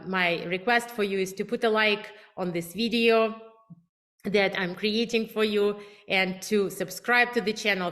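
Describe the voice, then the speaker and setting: A relationship coach speaks with a clear Russian accent, her voice sitting at a middle pitch for a woman. She talks with eager emphasis, selling her methods directly to the viewer. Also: fairly bright tone. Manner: emphatic, enthusiastic, self-promoting. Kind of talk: direct-to-camera advice talk.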